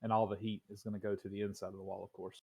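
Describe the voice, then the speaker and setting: Lowish guy voice, tabletop player explaining a spell, bit halting. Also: little pitch movement, creaky, dark tone, no echo. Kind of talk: casual game chat, explaining rules.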